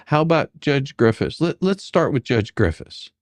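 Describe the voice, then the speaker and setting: A performer playing a bumbling, sarcastic questioning attorney in a comedic deposition reading, halting and theatrical. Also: mid-range voice, big pitch swings, no room sound, natural voice. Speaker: dramatic reader.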